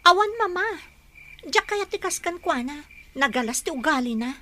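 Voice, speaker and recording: A woman's voice: very high-pitched, animated, normal speed, sharp tone, heavily acted. An actress playing an indignant young working woman, a dutiful daughter, in a radio drama. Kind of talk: Ilocano radio drama acting.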